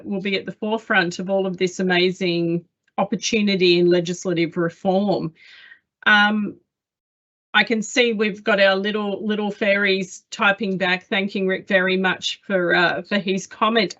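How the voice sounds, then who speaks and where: A woman's voice: mid-range voice, a bit bright. A woman hosting a corporate webinar, chatty and enthusiastic, with frequent fillers. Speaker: webinar host. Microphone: video call. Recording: dull lo-fi online call audio.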